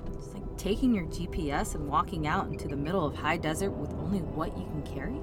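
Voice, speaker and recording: A woman's voice: low-pitched, fairly quick, natural voice. A woman playing a brash, eager, foul-mouthed young investigator in an audio drama, with a slangy, sarcastic, pushy delivery. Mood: casual, musing. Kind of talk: full-cast audio drama acting. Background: music.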